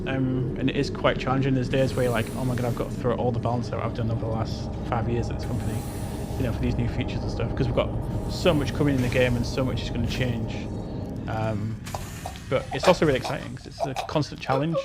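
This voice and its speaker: A man giving an unscripted interview answer as a game developer, explaining in a hedging way and often repeating "you know". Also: medium pitch.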